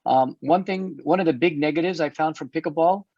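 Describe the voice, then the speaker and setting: A middle-aged man hosting an online health talk, chatty and hoarse, often restarting his words and laughing. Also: fairly high pitch, a little fast, no room sound. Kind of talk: casual panel chat. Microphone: video call. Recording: dull dry video-call audio.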